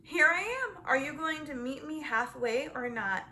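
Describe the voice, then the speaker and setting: Woman voicing an eager, high-pitched little storybook girl. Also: animated, a little slow, bright, forceful tone, a little hiss or hum, exaggerated voice. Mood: excited, insistent. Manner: exclaiming, questioning. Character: eager, literal-minded young girl, excitable and persistent. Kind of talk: children's storybook read-aloud.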